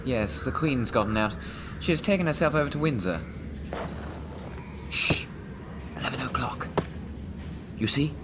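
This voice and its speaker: A man playing a brisk, impatient uniformed superior on patrol in a Victorian audio drama. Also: medium pitch, background noise, close to an everyday voice. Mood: impatient, alert.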